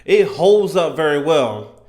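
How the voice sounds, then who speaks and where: A man in his thirties giving casual, enthusiastic fan commentary in an animated, emphatic, slangy way. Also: a little high, slow. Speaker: gaming content creator.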